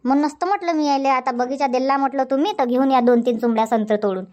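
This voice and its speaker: A woman's voice: high-pitched, quick pace, a little mellow, natural voice. A woman voicing a bossy, chatty village farm woman in a cartoon comedy, insistent and scolding, with a Varhadi Marathi accent from Vidarbha. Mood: chatty, grumbling. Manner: insistent, scolding, rhetorical questioning.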